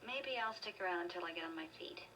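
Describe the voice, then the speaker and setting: Low-voiced, streetwise young daughter. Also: female voice, expressive, fast, pushed and bright, distant mic, a little hiss or hum, poor audio. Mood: casual, reluctant. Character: streetwise young daughter, defiant but softening. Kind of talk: video game voice acting.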